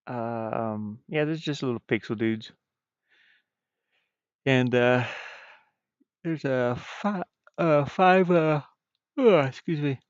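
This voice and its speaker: A man chatting casually on a livestream as a game developer, speaking in a halting way and often trailing off. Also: smooth, dry recording. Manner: hesitant, halting, frequently trailing off. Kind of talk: casual stream chat. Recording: muffled, dull low-fidelity stream audio.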